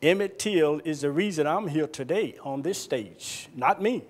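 An older man giving a reflective speech on stage into a handheld microphone, deliberately, with emphatic phrases. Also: on the high side, lively intonation. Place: stage in an auditorium.

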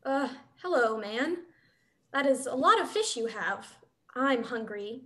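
A woman's voice: high-pitched, expressive, unhurried, a bit bright. A young woman playing a hungry, pleading female wolf in a play reading over a video call. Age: young adult. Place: small dim room at home, on video call.

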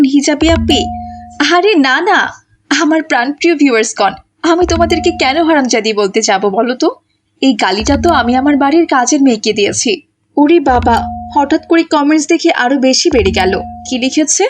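A woman voicing a selfish, short-tempered mother in a cartoon, with a shrill, theatrical delivery. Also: slight background noise, close to an everyday voice.

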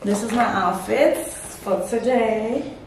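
A woman's voice: a little high, takes it slowly, background noise. Chatty woman vlogging. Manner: chatty, mixing in Zulu words. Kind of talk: casual vlog chat to camera. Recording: echoey room with loud background music.

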